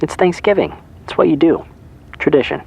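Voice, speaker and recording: An actor playing a teasing, dry-humored friend on the phone. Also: male voice, on the high side, lively intonation, normal speed, creaky, darkish tone, slight background noise, rough recording. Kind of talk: web series drama acting.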